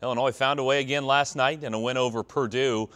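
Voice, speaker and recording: A man's voice: mid-range voice, steady tone, quick pace, fairly bright tone. An American man reading scripted sports news as a television anchor.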